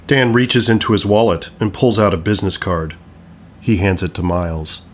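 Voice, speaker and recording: A man's voice: low-pitched, moderate pace, slight background noise, close to an everyday voice. A man narrating an audio play in a scripted style, reading stage directions and credits. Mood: neutral. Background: music.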